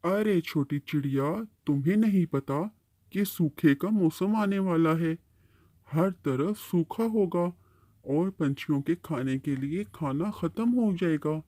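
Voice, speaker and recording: A kindly uncle tree from a children's cartoon, acted by a man. He has a soft, mellow voice, a little high for a male, and advises patiently, like an elder explaining things to a youngster. The sound is close and dry. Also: slow pace, no room sound. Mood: encouraging. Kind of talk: Hindi-Urdu cartoon storytelling dialogue.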